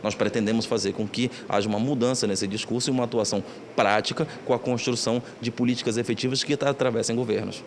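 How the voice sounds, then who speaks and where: A man giving a formal interview statement, speaking in measured, policy-minded terms. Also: medium pitch, steady tone, slight background noise. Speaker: interviewee, author.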